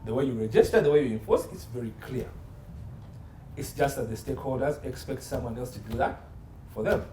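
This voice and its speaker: A man's voice: medium pitch, a little fast. Emphatic man in a roomy space. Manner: emphatic, assertive, repeats phrases and asks rhetorical questions. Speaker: guest expert, entertainment law consultant. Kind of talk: opinionated interview commentary. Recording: roomy echo, faint background noise.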